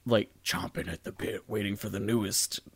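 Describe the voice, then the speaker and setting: A man's voice: fairly low pitch, steady tone, moderate pace, slightly rough, no echo. A man co-hosting a podcast, chatting casually about things. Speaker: podcast co-host.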